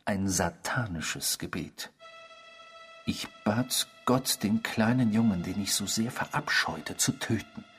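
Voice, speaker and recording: A man's voice: fairly low pitch, takes it slowly, fairly bright tone, soft background music. An actor in a German radio drama playing an intrusive, eerie man who philosophizes and confesses with pompous, formal politeness. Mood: confessional, eerie.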